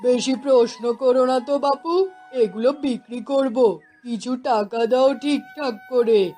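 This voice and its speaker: A poor village fisherman from a cartoon tale, played by an actor, high in pitch for a man. He sounds wary and weary, cautioning against risk, with music behind. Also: slow pace, dark tone. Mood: firm. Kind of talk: Bengali cartoon story voice acting.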